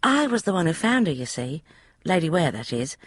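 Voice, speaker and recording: A woman's voice: low voice, very animated, a little fast, no room sound, studio quality, voice pushed up. A woman voicing a young, flustered housemaid who gossips in broad, common speech. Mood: gossipy.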